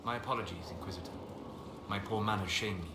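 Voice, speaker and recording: An actor playing a calm, reserved elven mage advisor in a video game, speaking in measured, formal phrases. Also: male voice, sits low, close to an everyday voice. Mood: measured, courteous. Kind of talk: video game dialogue acting. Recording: roomy echo, clear background noise.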